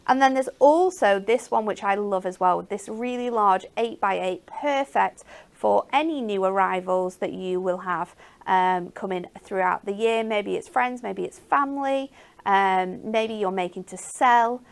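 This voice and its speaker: Lady doing shopping tv, gravelly voice, lots of ums, gushing about products. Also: mid-range voice, unhurried. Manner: enthusiastic, many ums and restarts. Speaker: shopping channel presenter. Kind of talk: live shopping channel presenting.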